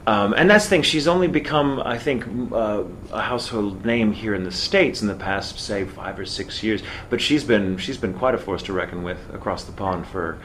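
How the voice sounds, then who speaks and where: A man from Texas with a mid-Atlantic theater accent, speaking as a voice actor guest in a casual interview in an articulate, measured way. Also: lowish voice, a bit bright, slight background noise.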